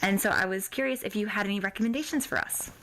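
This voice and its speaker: American woman podcast host, casual interview chat, mid pitched voice, bit of noise behind her. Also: fast talker, a bit bright, low-quality recording.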